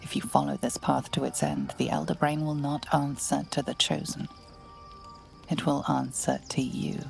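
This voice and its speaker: A woman playing a brooding storyteller, narrating a fantasy game deliberately and portentously in a gravelly voice over music. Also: little pitch movement, darkish tone. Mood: ominous, hushed. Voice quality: low, gravelly. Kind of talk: scripted second-person game narration.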